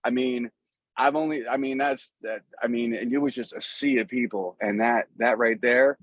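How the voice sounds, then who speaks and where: A man's voice: mid-range voice, fairly even intonation, moderate pace, dry recording. American guy musician doing an interview, rambles, repeats himself, earnest, really dry sound. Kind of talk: casual, rambling conversation about a music career.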